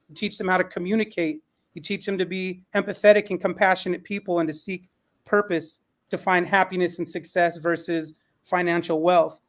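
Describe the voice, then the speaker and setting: A man with a notably high-pitched voice speaks as an educator on a panel. He is emphatic and opinionated, building his argument through lists and short repeated phrases. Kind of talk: panel discussion, opinion talk.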